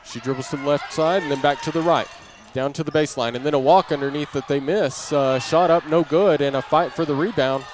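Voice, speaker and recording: A man's voice: on the high side, fairly quick, few pauses. A man doing live play-by-play basketball commentary in clipped, running phrases from a gym. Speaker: play-by-play announcer. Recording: noisy gym ambience, bouncing ball. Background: clear game noise and ball bounces.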